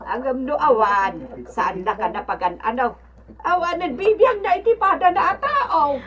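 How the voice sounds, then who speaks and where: High, animated, gossipy storekeeper woman. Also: a little slow, lo-fi sound. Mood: disapproving. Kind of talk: Ilocano radio drama acting.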